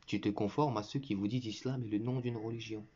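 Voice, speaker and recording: Scornful low-voiced man, muffled recording. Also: steady tone, fast talker, a little mellow. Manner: scornful, lecturing, addresses listener directly as "tu"; bursts into mocking laughter. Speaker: online religious commentator. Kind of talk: combative reaction monologue to viewers.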